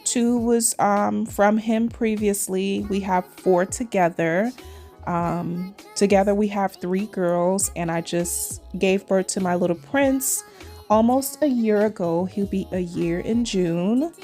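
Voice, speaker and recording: A woman's voice: mid-range voice, slow pace, mellow, faint music behind. A woman in her forties with a Trinidadian and New York accent, chatting casually to viewers while she does her nails. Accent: Trinidadian mixed with New York. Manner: chatty, addresses viewers as cuties, says y'all. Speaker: nail vlogger.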